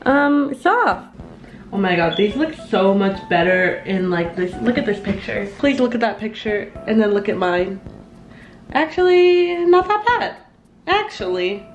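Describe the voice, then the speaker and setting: Young woman, bubbly, self-mocking, home kitchen, roomy echo. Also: mid-range voice. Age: young adult. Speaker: vlogger. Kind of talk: casual cooking vlog.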